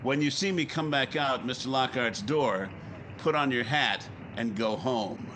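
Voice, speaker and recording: A man's voice: medium pitch, a little slow, background noise, lightly acted. A man playing a brash, driven newspaper editor in his forties, curt and self-assured, in a 1950s radio drama. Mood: brusque, confident. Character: brash, driven forty-year-old male newspaper editor. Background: music.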